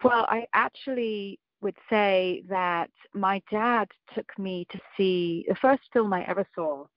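A woman's voice: medium pitch, slow pace, no echo, lo-fi sound. A woman in her forties with an English accent, a film producer telling her story in an interview, thoughtful and full of small restarts. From Scotland, raised in England. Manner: reflective, with frequent ums and restarts. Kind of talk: conversational interview.

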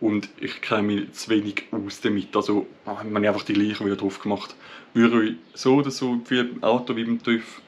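A man's voice: lowish voice, level tone, brisk, lo-fi sound. A man in his twenties from Switzerland with a Swiss German accent, chatting casually to his camera in a small home office, rambling with many fillers and restarts. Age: 20s. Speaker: vlogger. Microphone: camera at arm's length.

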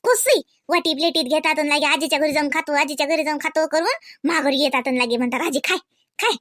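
A woman's voice: high voice, fast, a bit bright, no room sound, clean studio sound. A woman voicing a gossipy, rambling village grandmother in a comedy cartoon, speaking colloquial Marathi with a rural Varhadi accent from Vidarbha. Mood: chatty, fond. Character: chatty village grandmother grumbling about family, fond of grandchildren.

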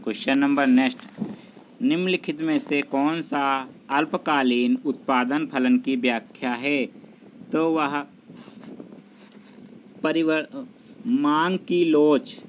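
A man's voice: on the high side, fairly even intonation, takes it slowly, long pauses, a little hiss or hum. A man working as an exam tutor, methodically reading out multiple-choice questions and their answers.

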